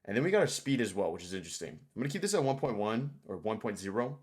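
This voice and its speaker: Low-pitched man, casual tutorial host. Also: sing-song, fast talker, a little mellow. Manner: informal, thinking aloud. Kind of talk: casual screen-share tutorial.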